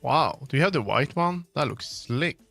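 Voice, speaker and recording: Bright-voiced man chatting on a livestream. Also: on the high side, animated, moderate pace, vocal fry. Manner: informal, frequent swearing. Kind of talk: casual livestream chat about tech gear. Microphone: streaming microphone on a sound card. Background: music.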